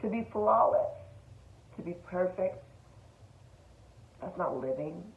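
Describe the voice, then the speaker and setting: A woman's voice: lowish voice, slow pace. A woman talking casually and emphatically to viewers in a self-development vlog, often posing rhetorical questions. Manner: emphatic, animated, rhetorical questions, frequent chuckles. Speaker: vlogger. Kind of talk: casual spiritual self-development talk to camera. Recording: roomy echo, thin phone-like sound.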